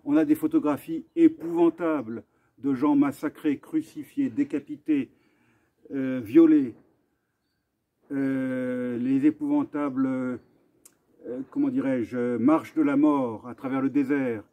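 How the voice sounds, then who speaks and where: A man of medium pitch speaks as host in an earnest, commemorative address. His voice is somewhat husky and he goes deliberately, pausing and repeating himself. The recording is dull and lo-fi. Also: fairly even intonation. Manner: deliberate, with halting pauses and repetitions. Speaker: video host and association vice-president. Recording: dull, muffled lo-fi sound.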